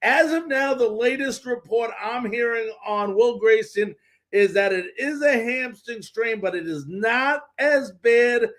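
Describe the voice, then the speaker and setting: A man's voice: very high-pitched, measured pace, a bit bright. A man hosting a live sports talk show, giving updates and responding to viewer comments.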